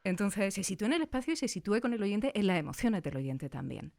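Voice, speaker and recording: Female interviewer, Spain accent, mid-pitched voice, chatty and enthusiastic delivery, frequent tag questions. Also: sing-song, a little fast, darkish tone. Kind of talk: conversational interview.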